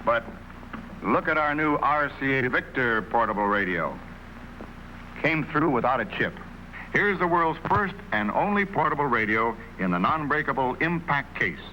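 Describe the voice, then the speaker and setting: A man announcing a mid-century television commercial as a sales pitch. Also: very animated, normal speed, bright, forceful tone, a little hiss or hum, rough recording. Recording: old TV ad soundtrack with music.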